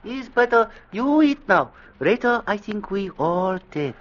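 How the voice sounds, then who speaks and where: Meek ship's cook, high voice, halting broken english, muffled old radio play. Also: male voice, very animated, a bit bright, exaggerated voice. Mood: solicitous, resigned. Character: fatalistic, devout ship's cook with limited English. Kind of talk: 1940s-50s radio drama acting. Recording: muffled vintage radio recording.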